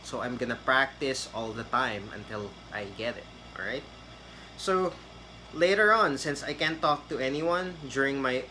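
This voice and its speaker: A man's voice: medium pitch, noisy background. A man, giving a casual, rambling tutorial on getting hired in a call center. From Philippines. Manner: chatty, rambling with fillers and restarts. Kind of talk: casual tutorial talk to camera.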